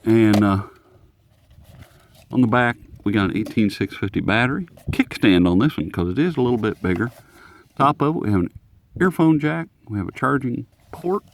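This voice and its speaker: A man's voice: medium pitch, animated, normal speed, a little hiss or hum. A man hosting a casual, unscripted radio review in a folksy, jokey, rambling manner. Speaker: host of radio review videos.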